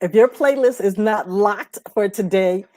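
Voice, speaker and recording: A woman co-hosting a casual music show, talking in an animated, slangy way. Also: mid-range voice, measured pace, no room sound.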